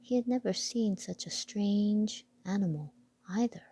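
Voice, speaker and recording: A woman reading a children's picture book aloud with deliberate storytelling phrasing. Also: mid-range voice, mellow, slightly rough.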